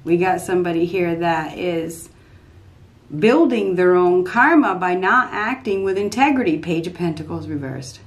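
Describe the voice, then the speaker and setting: A woman in her fifties giving an unscripted tarot reading at a desk in a small dark room, repeating herself emphatically as she thinks aloud. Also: on the low side, expressive, normal speed. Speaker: tarot reader.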